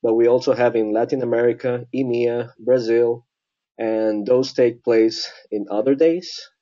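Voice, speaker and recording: A man hosting an online tech show, introducing the guest in a friendly, halting way with many fillers. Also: medium pitch, fairly even intonation, dark tone, muffled recording. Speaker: host.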